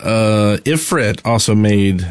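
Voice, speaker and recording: A man's voice: fairly low pitch, expressive, measured pace, clean tone. A man co-hosting a podcast in casual, conversational chat. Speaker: podcast co-host.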